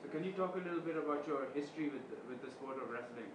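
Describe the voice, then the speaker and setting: A man's voice: on the high side, fast. Male interviewer, talkative questioner; distant, roomy, noisy recording. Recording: roomy, distant mic with background noise.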